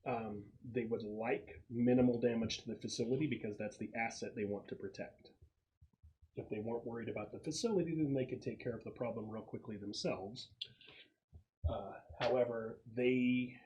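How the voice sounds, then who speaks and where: A man running a casual tabletop role-playing game as game master, explaining the setup with halting pauses. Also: mid-range voice, darkish tone, lo-fi sound.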